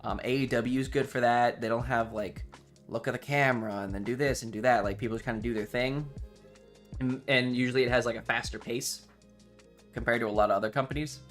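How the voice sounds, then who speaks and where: A man with a medium-pitched voice talks casually on a livestream. He rambles with enthusiasm and swears offhandedly, while music plays behind him. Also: steady tone, a little fast, fairly bright tone.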